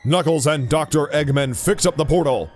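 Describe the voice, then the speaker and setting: A man's voice: fairly high pitch, normal speed, soft background music. A man reading scripted narration for an audio drama.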